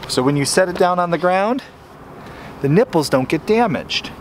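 An upbeat man in his thirties talks through a hands-on product demo in a slightly higher voice, eager and chatty, stumbling now and then. He is filmed on a handheld camera held at arm's length. A faint hiss runs under his voice. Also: expressive, normal speed. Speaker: homesteading channel host and father. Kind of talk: casual product demo vlog. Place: wooden barn and outdoor farmyard.

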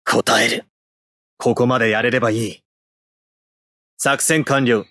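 A man's voice: mid-range voice, steady tone, measured pace, bright, forceful tone, no room sound, studio quality. A man playing a cold, stoic young mecha pilot in an anime video game, speaking in terse, clipped military phrases. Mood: calm, detached. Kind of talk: anime game battle voice lines.

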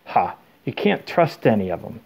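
A man voicing a huge, loyal, hot-tempered groundskeeper in an audiobook, speaking in a blunt, rustic way with rough grammar. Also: fairly low pitch, very animated, normal speed, darkish tone, exaggerated voice. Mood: grim, scolding.

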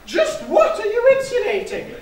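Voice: very high-pitched, wildly swooping pitch, moderate pace, exaggerated voice. A performer playing a haughty, easily offended vegetable seller in a live stage pantomime, with exaggerated theatrical delivery. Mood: huffy, indignant. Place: theatre stage, distant microphone. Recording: echoey noisy theatre stage from far off.

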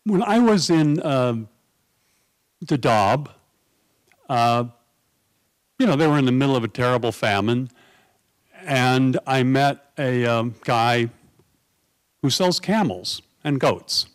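A middle-aged man from New York, speaking at a conference panel table into a stand mic, telling anecdotes in a lively, conversational way. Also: medium pitch, slow, pauses often, clear, resonant tone. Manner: animated anecdotal, acts out quoted voices. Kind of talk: conversational storytelling.

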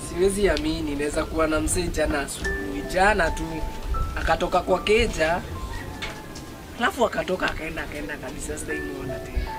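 A male actor plays a bereaved young Kenyan man in a radio drama. His voice is slightly high and sorrowful, with loud music behind it. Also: fast talker, lightly acted. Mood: grieving, disbelieving.

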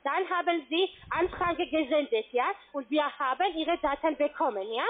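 A woman with a non-native foreign accent, giving a formal scripted sales pitch with frequent short tag questions. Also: normal speed. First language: not German. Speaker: call centre sales agent. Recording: narrow-band phone line.

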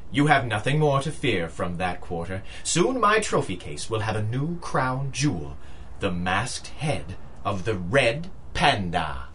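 An actor playing a boastful trophy-hunter villain in a 1930s-style radio drama, with formal theatrical menace. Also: male voice, on the low side, very animated, unhurried. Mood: smug, menacing.

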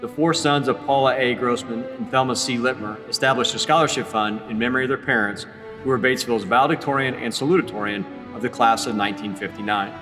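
A middle-aged man, a school principal, making formal and measured ceremony announcements. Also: mid-range voice, in a large hall, music in the background. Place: school gymnasium.

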